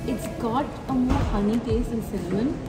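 A woman's voice: a little high, normal speed, creaky, far from the mic. A young woman vlogging in a small cafe, talking chattily straight to the camera. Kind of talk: casual chat. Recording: echoey cafe, music playing.

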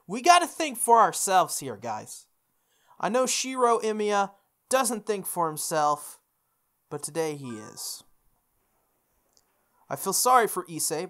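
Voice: mid-range voice, a bit bright. A let's play host reading a visual novel's lines aloud and chatting jokingly with viewers between them. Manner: reads the lines in character, then comments jokingly to viewers. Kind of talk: reading game text aloud with casual commentary.

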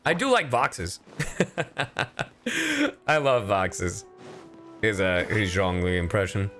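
Guy streamer just chatting, playful, bouncy intonation, punchy bright voice, bit of game music. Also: lowish voice, slow pace. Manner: animated, playful, casual swearing. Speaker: streamer and voice actor. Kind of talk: casual livestream chat. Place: indoor livestream with game music.

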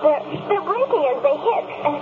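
Horrified field newscaster, high-pitched and halting, vintage radio drama, thin noisy transmission. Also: expressive, phone-quality sound. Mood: alarmed, frantic. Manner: dramatic, halting, stammering eyewitness reporting. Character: horrified on-scene radio news reporter, increasingly frantic. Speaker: radio news reporter. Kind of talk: 1970s radio drama acting as live news report. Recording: noisy, band-limited vintage radio broadcast.

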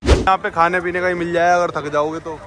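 A man's voice: fairly high pitch, flat delivery, brisk. A young man vlogging casually, chatting and haggling to camera as he shops a busy night market. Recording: crowd noise of a street market.

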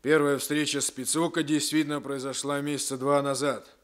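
An actor playing a sullen, defiant criminal under interrogation in a 1960s Soviet radio drama, with grudging, colloquial speech. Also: male voice, fairly high pitch, little pitch movement, a little fast, close to an everyday voice. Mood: grudging confession.

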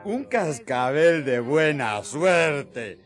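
A man's voice: on the high side, big pitch swings, very slow, heavily acted. A man playing a young, plain-spoken shepherd in a radio drama, matter-of-fact and insistent. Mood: generous, insistent.

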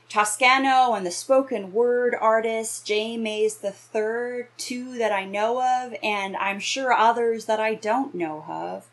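Slow-paced woman minister giving a reflection. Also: on the high side, fairly bright tone, low-quality recording. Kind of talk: sermon, explanatory reflection. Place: small indoor room with bookshelves.

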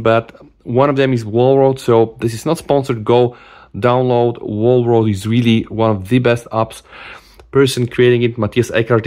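A middle-aged man giving a casual hands-on phone demo in an animated, exclamatory way. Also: medium pitch. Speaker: tech reviewer. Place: small room at a desk, indoors.

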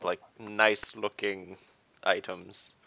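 A man with a low, gravelly voice talking bluntly as a tabletop player. He sounds close and dry over a slightly muffled call. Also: flat delivery, extremely slow, pushed and bright, dry recording. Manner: blunt, matter-of-fact. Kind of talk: casual in-character game chat. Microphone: video call.

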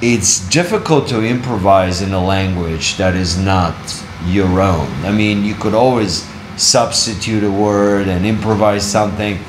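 Low man chatting, echoey room. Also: a little slow, few pauses, noisy background. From Bulgaria. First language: Bulgarian. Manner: animated, laughing, conversational. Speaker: actor on a panel. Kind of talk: panel discussion. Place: wood-panelled university room.

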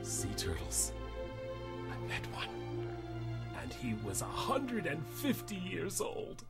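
A woman's voice: sits low, wildly swooping pitch, slow pace, over loud music, heavily acted. A woman voicing an anxious, overprotective father clownfish in a fan dub, flustered and stammering. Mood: tender, playful. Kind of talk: amateur fan dub of an animated film.

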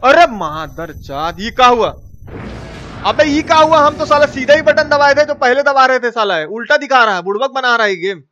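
A man's voice: very high voice, brisk, sharp tone. A man with a Bihari accent giving casual, slangy gameplay commentary as a live streamer, cursing and repeating himself as he chats with viewers. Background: game music.

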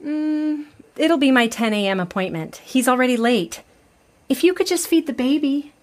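A stern, exasperated daughter in a comedy podcast. Her voice sits a little high. She speaks curtly, with dry, scolding impatience. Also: animated, normal speed, a little mellow. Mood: weary, irritated. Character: exasperated, stern adult daughter, a child therapist. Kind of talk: audio comedy acting.

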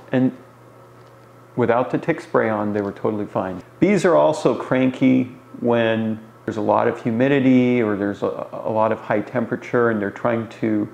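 A man around forty gives an informal explanation to camera as a hobby teacher, pausing as he phrases things, recorded in a small bare room. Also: on the low side, gravelly, darkish tone. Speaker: beekeeper answering beginners' questions. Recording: roomy echo, faint background noise.

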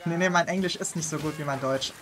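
A chatty German man talks through a co-op game round, voice sitting a little high for a man. He laughs easily and drops quick asides while he plays. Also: music in the background. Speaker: gaming channel host. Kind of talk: casual gameplay chat.

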